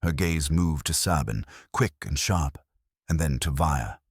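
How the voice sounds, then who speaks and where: Guy narrating a detective novel, super low husky voice, lots of rise and fall, dry close sound. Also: lively intonation, unhurried, dry recording. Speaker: audiobook narrator. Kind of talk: scripted first-person detective story narration.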